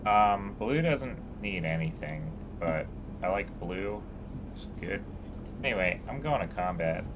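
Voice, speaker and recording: Low-voiced man thinking aloud over cards. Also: unhurried. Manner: relaxed, thinking aloud about plays. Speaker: card game player. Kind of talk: casual game-table chat. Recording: clear background noise.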